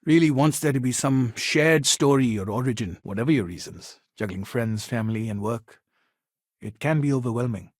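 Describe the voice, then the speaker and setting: An actor playing an earnest secular humanist counselor in a sci-fi video game, speaking in a measured, helpful, conversational way. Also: male voice, medium pitch, no room sound, clean studio sound, natural voice. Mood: calm, earnest.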